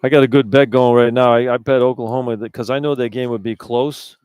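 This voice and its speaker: A man's voice: mid-range voice, fairly even intonation, quick pace, a little mellow, clear, resonant tone, dry recording. A man working as a color analyst on sports radio, talking in a conversational style.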